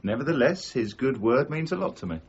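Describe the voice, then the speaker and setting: An actor in a period radio drama playing a brazen, ingratiating swindler who talks with glib, flattering courtesy. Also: male voice, medium pitch, fairly quick, highs cut off, slight background noise. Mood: smugly defensive. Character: smooth, brazen male swindler and counterfeiter, ingratiating.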